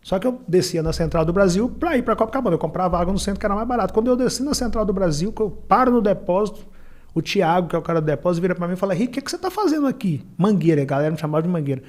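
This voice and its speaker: High-pitched, fast-talking Brazilian man telling stories. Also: animated, darkish tone. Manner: acts out dialogue as he recounts, colloquial and emphatic. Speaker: podcast guest, entrepreneur and former beach water vendor. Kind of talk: animated first-person storytelling in conversation.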